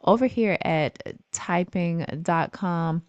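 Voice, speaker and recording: A woman with a low, clear and resonant voice narrates an informal on-screen walkthrough as a video host. She explains things in a conversational, reassuring way. The sound is close and very dry. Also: takes it slowly, a little mellow, dry recording, muffled recording. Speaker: YouTube host presenting remote job listings.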